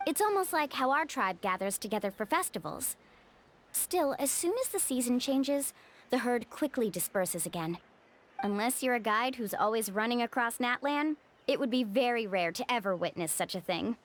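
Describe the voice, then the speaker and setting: A woman's voice: a little high, slight background noise. A woman voicing a cheerful, confident young female guide in a video game, upbeat and encouraging. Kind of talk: animated video game dialogue.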